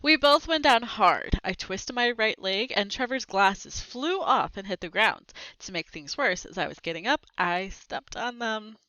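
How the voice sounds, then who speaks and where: A woman reading a children's book aloud, expressive and acting out the characters' lines with short asides. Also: medium pitch, a little slow, few pauses, no echo, highs cut off.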